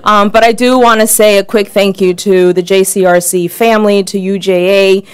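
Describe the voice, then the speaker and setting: A woman's voice: medium pitch, fairly even intonation, normal speed, clean tone. A woman giving friendly, lightly joking remarks at a podium, with frequent um fillers. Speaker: congresswoman speaker. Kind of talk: speech at a podium.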